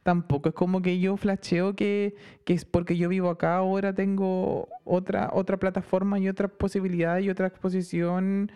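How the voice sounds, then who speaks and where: Chilean male podcast co-host, casual slangy delivery, smooth clean tone. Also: high voice. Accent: Chilean Spanish. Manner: slangy, animated, halting restarts. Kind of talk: casual chat.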